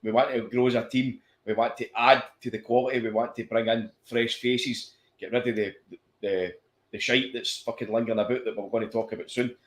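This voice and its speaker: A Scottish man co-hosting a podcast, talking fast and passionately about football, swearing and stumbling over restarts. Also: medium pitch, fairly even intonation. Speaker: podcast co-host. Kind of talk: casual football chat. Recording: echoey room.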